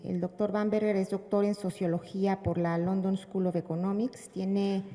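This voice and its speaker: A woman's voice: lowish voice, creaky, mellow. A woman moderator formally introducing a speaker at a conference, with hesitant fillers. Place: large conference hall. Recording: long hall reverb.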